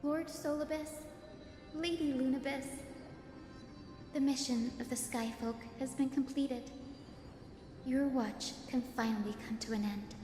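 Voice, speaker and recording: A woman playing a gentle, earnest young healer in a game, soft-spoken and politely measured, with quiet resolve. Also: sits high, steady tone. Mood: solemn, respectful. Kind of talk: dramatic game story acting. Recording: echoey hall reverb, music behind.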